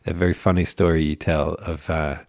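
A man's voice: a bass voice, level tone, a little fast, vocal fry, darkish tone, no echo, clean studio sound. A man interviewing a guest, asking long rambling, tentative questions.

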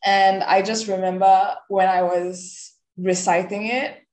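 A woman's voice: medium pitch, level tone, slow pace, darkish tone. A young woman from Singapore with a Singaporean English accent, chatting casually as a podcast guest and filling her speech with "like". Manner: chatty, many "like" fillers. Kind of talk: casual interview chat.

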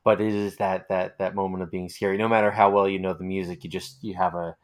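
Low-voiced man interviewing, halting delivery. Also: level tone. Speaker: interviewer, host. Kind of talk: casual conversational interviewing.

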